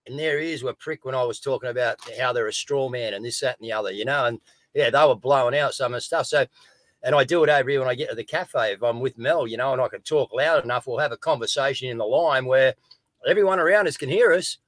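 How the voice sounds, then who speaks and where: A man hosting a live stream, talking loudly and casually to his viewers. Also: quick pace, dry recording. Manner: loud, booming, addresses folks.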